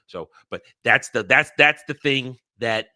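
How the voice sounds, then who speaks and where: A man's voice: mid-range voice, unhurried, pushed and bright, no room sound, clean studio sound. A man co-hosting a casual movie review show, talking in a loose, rambling way with frequent restarts and fillers. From Northeastern United States.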